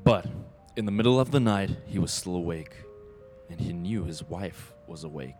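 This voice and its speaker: A man's voice: deep voice, animated, normal speed, a little mellow, soft background music. A man acting as the brooding narrator of an ominous radio play, in dramatic fragmentary phrases. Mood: measured.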